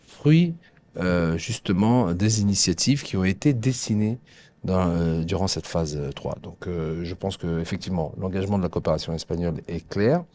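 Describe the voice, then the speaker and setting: A man with a low-pitched voice delivers formal remarks to a workshop as an agency representative. His delivery is halting, full of drawn-out hesitations and repeated words as he builds each sentence. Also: a little mellow. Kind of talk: semi-prepared formal public remarks.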